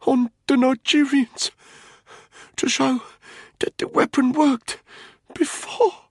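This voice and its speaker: A wounded prisoner in a thriller audiobook. The voice is pushed up high, breathy and cracking, short of breath with pain and fear. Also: male voice, level tone, slow, no room sound, clean studio sound, exaggerated voice. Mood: weak, pained. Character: badly wounded male prisoner, gasping, broken and terrified.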